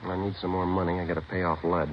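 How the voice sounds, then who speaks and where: Actor as fast, deadpan low-voiced American detective. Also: male voice, a little hiss or hum, natural voice. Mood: low-key. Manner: deadpan, matter-of-fact. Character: terse, dry male undercover police detective. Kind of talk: 1950s radio drama acting, clipped narration. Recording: dull old radio recording, music behind.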